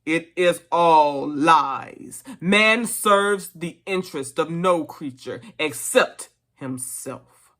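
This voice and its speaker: A reader voicing an elderly boar, a solemn revolutionary orator addressing fellow animals in a storybook, with deliberate, emphatic delivery. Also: mid-range voice, sharp tone. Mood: rousing, urgent. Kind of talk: dramatic storybook reading of a speech.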